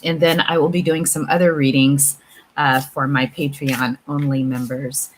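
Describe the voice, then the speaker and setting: Female spiritual vlogger, low pitch, casual and reflective explainer with stop-and-start phrasing, slight background noise. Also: moderate pace. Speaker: tarot reader and channel host. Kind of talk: casual spiritual talk to viewers. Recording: clear steady background room noise.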